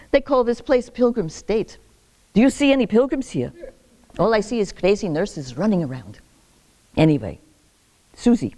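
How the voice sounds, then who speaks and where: A woman's voice: medium pitch, lightly acted. Woman playing a wry old grandmother. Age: older. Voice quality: sharp-tongued, scolding, chuckling asides. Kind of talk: theatrical monologue.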